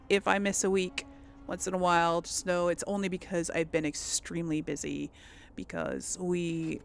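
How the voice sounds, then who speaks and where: A woman's voice: medium pitch, a bit bright, slight background noise. A woman giving casual, rambling game commentary to viewers, thinking aloud as she plays. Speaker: gaming host.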